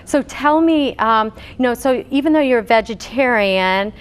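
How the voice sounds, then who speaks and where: A woman's voice: a little high, expressive, moderate pace, smooth. A woman dietitian hosting an interview, asking questions in a friendly way with many fillers. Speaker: dietitian and host.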